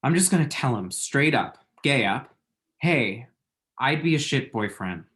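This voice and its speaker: A man's voice: medium pitch, animated, a little slow, fairly bright tone. Man acting a chatty, flippant lovesick young guy. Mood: self-deprecating. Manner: chatty, dry, self-deprecating, pop-culture asides. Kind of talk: screenplay table read.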